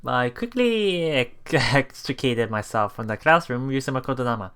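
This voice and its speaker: A man doing a let's play, reading story narration aloud with casual commentary, halting and chuckling as he goes, with game music behind him. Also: medium pitch, animated, fairly bright tone, smooth. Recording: voice over game background music.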